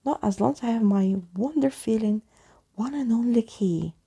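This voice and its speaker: A woman's voice: on the high side, normal speed, warm tone, husky. A woman voicing a pompous, cheerful male living skeleton in a children's storybook, theatrical and boastful. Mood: proud, oblivious. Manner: theatrical, boastful, uses whimsical invented words.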